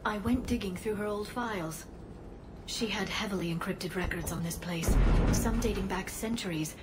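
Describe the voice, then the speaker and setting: An actress playing a gentle young alien scientist who speaks softly and earnestly, worried for her people. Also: mid-range voice, moderate pace, loud music behind the voice, close to an everyday voice. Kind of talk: video game cutscene acting.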